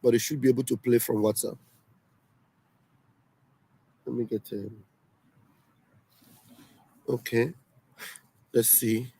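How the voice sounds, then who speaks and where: A man's voice: mid-range voice, level tone, slow, pauses often, warm tone, husky. A man hosting a casual livestream, emphatic and repeating himself often. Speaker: livestream host. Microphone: home livestream setup.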